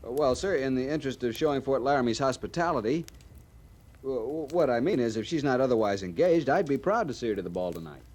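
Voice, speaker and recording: An actor playing an awkward, polite young cavalry lieutenant in a radio western, speaking hesitantly and earnestly. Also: male voice, mid-range voice, lively intonation, fast, darkish tone, natural voice. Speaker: junior cavalry officer. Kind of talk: old-time radio western acting. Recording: noisy old radio recording.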